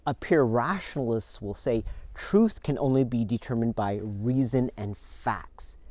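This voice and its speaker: A man hosting a church video, speaking earnestly and in a measured way to his congregation. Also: mid-range voice, a little mellow, dry recording.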